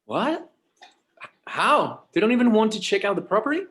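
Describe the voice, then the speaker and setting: A man playing a harried, hesitant grown son in a screenplay table read, with a halting delivery that stumbles over words. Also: high voice, very animated, a bit bright. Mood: doubtful. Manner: halting, stammering.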